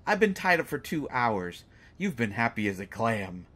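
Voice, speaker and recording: A man playing a flirtatious, teasing superhero who is secretly smitten, in a halting, playful conversational way for a roleplay audio drama. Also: mid-range voice, very animated, bright, forceful tone. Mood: mock exasperation, amused. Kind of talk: roleplay audio drama, acted monologue to listener.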